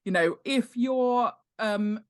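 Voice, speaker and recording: A woman's voice: fairly high pitch, slow pace, smooth. A woman talking casually as an expert podcast guest, explaining ideas informally and emphatically, repeating points and posing rhetorical questions. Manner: animated, emphatic, repeats key points for stress; informal, uses rhetorical questions and acted-out examples. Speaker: podcast guest, author and world-building expert. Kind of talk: casual instructional interview chat.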